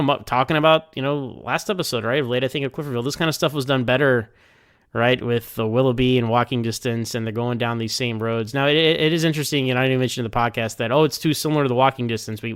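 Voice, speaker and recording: A man's voice: mid-range voice, fairly bright tone, clean tone, no echo, studio quality. A man talking casually in a rambling, think-aloud way as he gives his review of TV episodes. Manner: conversational, hedging, thinking aloud.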